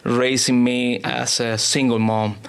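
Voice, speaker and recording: Smooth-voiced Cuban man, halting English. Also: mid-range voice, flat delivery. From Cuba. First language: Spanish. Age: 40s. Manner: halting, searching for words in second language. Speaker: pastor, interview guest. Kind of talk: conversational personal storytelling.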